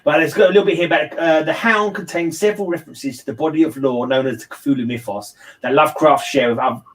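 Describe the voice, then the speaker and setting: A man's voice: on the high side, fast, far from the mic. A man hosting a casual livestream book discussion, rambling a little and repeating his phrases. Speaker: host of a livestream read-along channel.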